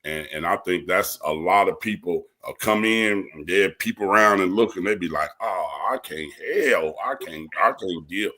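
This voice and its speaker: A man's voice: deep voice, moderate pace, hardly any pauses, bright, forceful tone. A man talking casually as a panel guest, with a colloquial, encouraging manner. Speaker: cast member, panel guest. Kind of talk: casual chat.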